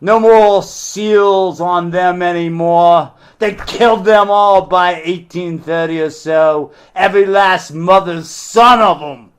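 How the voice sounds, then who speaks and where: A man puts on a salty sailor of the age of sail, pitched up and slow to speak. He relishes grim yarns of the sea, as if read from a storybook. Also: clear, resonant tone. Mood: salty yarn-spinning. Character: unshaven old-time sailor, salty and grimly relishing his tales. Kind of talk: novel read aloud.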